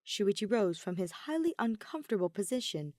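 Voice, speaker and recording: A woman narrating fan fiction like an audiobook, measured and emotive, with dramatic pauses. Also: mid-range voice, very animated, darkish tone, no room sound, clean studio sound. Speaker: podfic reader.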